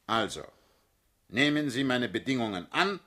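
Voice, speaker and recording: An actor playing a cool, hard-headed party boss in a German radio drama, speaking with curt authority. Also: male voice, mid-range voice, very animated, moderate pace, sharp tone. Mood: cool, controlled. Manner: authoritative, curt, clipped orders.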